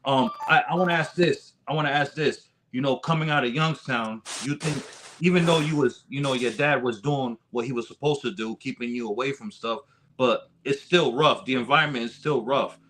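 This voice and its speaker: A man's voice: medium pitch, moderate pace, fairly bright tone, slight background noise. American man hosting a casual interview. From United States, Rhode Island and San Diego. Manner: encouraging, repeats his phrases for emphasis. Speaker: interview host.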